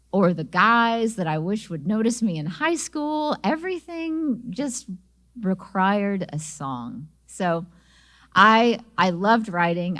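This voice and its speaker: A middle-aged woman speaks at a medium pitch, telling personal stories as a speaker at an event. She sounds sincere and a little halting, and she now and then restarts a phrase before going on. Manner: warm storytelling with small restarts. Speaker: singer-songwriter and speaker. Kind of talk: inspirational talk at an event.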